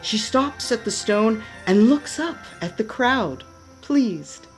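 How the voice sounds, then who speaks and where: A woman's voice: on the high side, animated, slow pace, faint music behind, poor audio. A woman reading scripted stage narration for a radio play.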